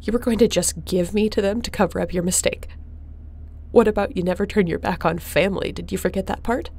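A woman's voice: on the low side, gravelly, a little mellow, husky. A woman playing a tough, defiant young smuggler in a sci-fi audio drama, blunt, emotional and confrontational.

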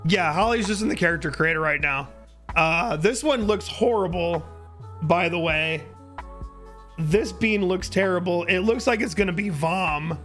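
A man in his early thirties with a hoarse voice, chatting casually as a live streamer on a close mic. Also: high voice, unhurried, fairly bright tone. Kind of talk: casual livestream chat. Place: indoor home streaming room. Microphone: close boom-arm microphone. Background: music.

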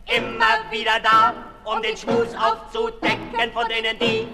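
An actor playing a theatrical, sardonic herald who half-sings mocking verse in a 1960s radio play. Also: male voice, very high voice, big pitch swings, measured pace, creaky, exaggerated voice. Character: declamatory male herald chanting mocking revolutionary verse. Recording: reverberant 1960s radio recording. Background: music.

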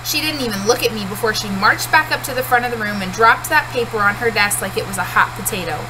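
Woman in her thirties, slightly high pitch, teacher's read-aloud, storybook narration, home webcam recording, noticeable fan-like noise. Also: quick pace. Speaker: teacher reading aloud to a class. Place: small cluttered room at home, indoors.

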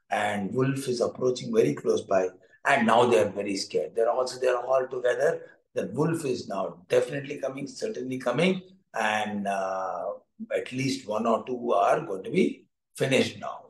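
Echoey middle-aged Indian-accented man coaching. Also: medium pitch. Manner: repeats phrases for emphasis, encouraging. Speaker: coach telling a moral story to students. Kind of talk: improvised storytelling and online teaching. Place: indoor furnished room with curtains, echoey. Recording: echoey room sound.

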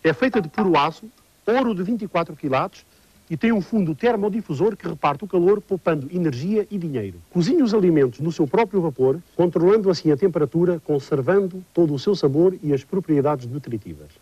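An actor playing an older home cook proudly recommending cookware in a scripted infomercial. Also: male voice, on the high side, animated, fairly quick, warm tone, slightly rough, dry recording. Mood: proud, persuasive. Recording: dull lo-fi TV tape.

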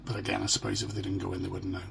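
A middle-aged British man talks casually to his camera in a low, heavily creaky, croaky voice. His delivery is halting and downbeat, like a personal diary. The home recording carries clear noise. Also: completely flat delivery. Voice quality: croaky, gravelly. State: hoarse. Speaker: vlogger. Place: small furnished room at home. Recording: noisy home phone or webcam recording.

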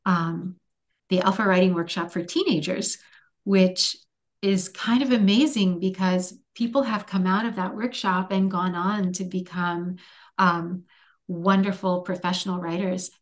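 A woman's voice: on the low side, fairly even intonation, unhurried. Thoughtful woman on a video call. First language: Hungarian. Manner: thoughtful, many ums and restarts. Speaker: author guest. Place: home room with bookshelves.

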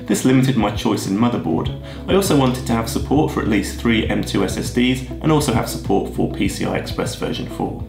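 Husky man, gravelly and low. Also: a little fast. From Australia. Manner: steady, methodical, explanatory. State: husky from a head cold. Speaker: video creator. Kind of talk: scripted tech vlog explanation. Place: plain room indoors, seated at a desk. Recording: roomy echo with music behind.

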